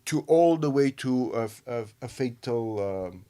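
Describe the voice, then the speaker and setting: A man from Armenia with an Armenian accent, co-hosting a news discussion and talking haltingly with many fillers and restarts. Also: medium pitch, expressive, warm tone, clear, resonant tone. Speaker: radio host.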